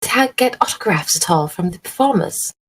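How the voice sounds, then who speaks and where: A woman's voice: fairly low pitch, expressive, brisk, breathy edge. A woman voicing a courteous, genteel lady in a tabletop role-playing game, polite and a little hesitant.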